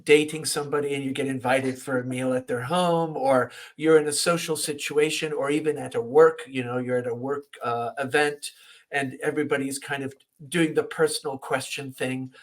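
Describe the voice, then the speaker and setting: A man's voice: mid-range voice, fairly even intonation, moderate pace. Man asking long rambling questions. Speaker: podcast host. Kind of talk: interviewing guests.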